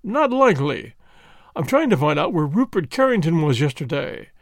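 A man's voice: fairly high pitch, big pitch swings, normal speed, creaky, dry recording, studio quality. A man reading the part of a breezy, chummy middle-aged police inspector who is mildly condescending.

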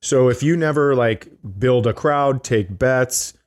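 A man with a medium-pitched voice co-hosts a casual comedy podcast. He banters and tells stories, teasing and swearing, sometimes with a mock-serious delivery. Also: measured pace, a little mellow. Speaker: podcast co-host.

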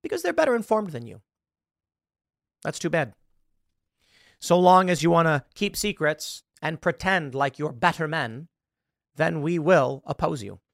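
A man's voice: fairly high pitch, sing-song, a little slow, vocal fry, long pauses, fairly bright tone, no echo, clean studio sound. A man in his thirties hosting live political commentary, impassioned and sarcastic, mocking the people he quotes. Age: 30s.

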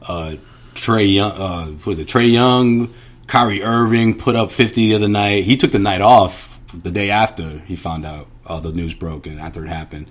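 A man's voice: fairly low pitch, normal speed. A man co-hosting a sports podcast in casual chat, often stumbling and repeating words as he talks. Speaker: podcast co-host.

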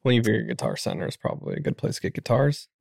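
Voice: mid-range voice, rapid-fire, gravelly, darkish tone, dry recording, studio quality. An American podcast co-host making casual, conversational remarks and banter.

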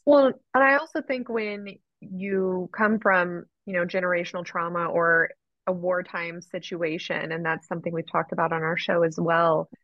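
A woman hosting a podcast with a lowish voice, recorded dry and a little dull, as if on a modest lo-fi mic. She asks long, winding questions and reflects back what her guest has said in an even, affirming way. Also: normal speed, no room sound. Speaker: podcast host. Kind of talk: interview chat.

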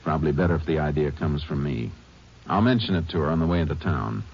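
An actor playing a laconic Texas Ranger who investigates and narrates, in a measured American voice in 1950s radio drama style. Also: male voice, very deep voice, darkish tone. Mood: calm, serious. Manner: measured, matter-of-fact. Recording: muffled old radio recording, music behind.

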